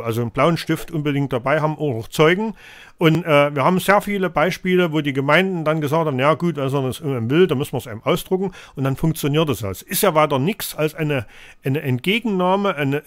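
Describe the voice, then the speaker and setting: A man's voice: fairly high pitch, no echo. A man in his fifties with a cold, hosting a live call-in show from a home studio and lecturing in a rambling way with many fillers. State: has a cold, coughing.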